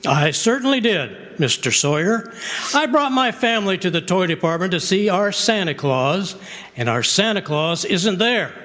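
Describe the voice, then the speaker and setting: A man playing a gruff, irate department store boss in a radio drama, blustering and commanding. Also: high voice, lively intonation, moderate pace, a bit bright. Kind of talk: stage radio drama acting. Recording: echoey hall, long reverb.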